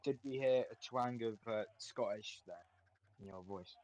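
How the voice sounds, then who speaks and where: A man's voice: lowish voice, unhurried, darkish tone. A man co-hosting a casual interview, stumbling and restarting as he asks follow-up questions.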